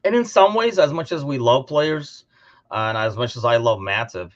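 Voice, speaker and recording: A man co-hosting a casual sports podcast, talking informally and thinking out loud as he discusses. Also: mid-range voice, expressive, normal speed, dull audio. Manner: informal, conversational, thinking aloud. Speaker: podcast co-host.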